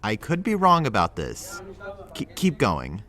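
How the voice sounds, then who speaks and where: A man's voice: on the low side, huge pitch swings, measured pace, pushed and bright, heavily acted. A man playing a blunt but well-meaning friend in an audio drama, casual, incredulous and chiding. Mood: exasperated, chiding. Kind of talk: conversational audio drama acting.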